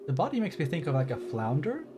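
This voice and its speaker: A man's voice: fairly high pitch, huge pitch swings, quick pace, over music. A man streaming a game, chatting casually and rambling, easily surprised by what he sees. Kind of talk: casual live commentary.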